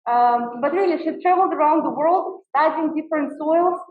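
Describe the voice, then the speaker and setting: Woman host, high voice, introduces a guest; tinny, distant, echoey recording. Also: moderate pace. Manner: warm, admiring, with frequent fillers. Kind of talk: formal introduction at a talk.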